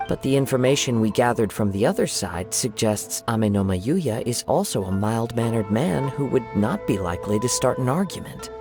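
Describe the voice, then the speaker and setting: A young wandering samurai from a video game, gentle and composed. He speaks in a medium-pitched voice with formal, deliberate courtesy over clearly audible music.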